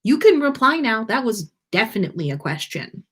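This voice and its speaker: A woman's voice: on the high side, big pitch swings, fairly quick, fairly bright tone, husky, voice pushed up, full character voice. Woman reading as a nervous, lovestruck man. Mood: exasperated. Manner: hesitant, pleading, exasperated. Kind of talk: storybook read-aloud of fan fiction.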